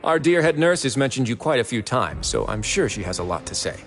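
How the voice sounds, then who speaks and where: A man voicing a duke who runs a fortress prison, with a dry, relaxed and sardonic manner. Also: mid-range voice, brisk. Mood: wry. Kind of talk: video game voice acting.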